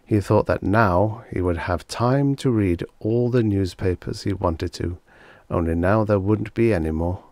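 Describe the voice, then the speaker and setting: A man narrating a story as an audiobook reader, measured and expressive. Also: deep voice, dry recording.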